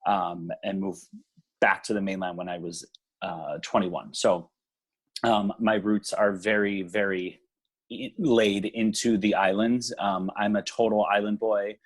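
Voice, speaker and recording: A man's voice: lowish voice, unhurried. A young man from Hawaii, a small business owner, chatting casually in an interview with lots of ums and easy laughter. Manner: relaxed, many ums, laughs easily. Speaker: venue owner, guest.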